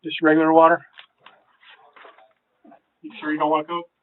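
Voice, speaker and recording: A man's voice: monotone, normal speed, slight background noise. A man working as a police detective, questioning someone in a casual, friendly way in a police interview room. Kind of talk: interrogation small talk. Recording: tinny low-fidelity room recording.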